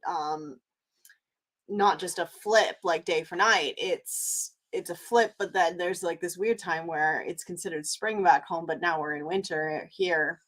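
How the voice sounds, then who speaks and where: A woman's voice: lowish voice, measured pace, fairly bright tone. A woman from Canada chatting casually on a live vlog, rambling with lots of fillers and restarts. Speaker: vlogger. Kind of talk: casual live-stream chat.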